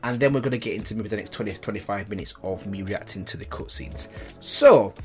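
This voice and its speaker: A man's voice: lowish voice, fast talker, faint music behind. A man hosting a reaction video, commenting in a casual, informal, exclamatory way. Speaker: YouTube reaction host.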